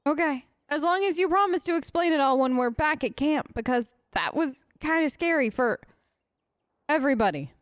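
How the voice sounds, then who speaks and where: A woman's voice: sits high, expressive, moderate pace, dry recording, studio quality. A woman hosting a role-play let's play, chatting casually and a little hesitantly to game companions in character.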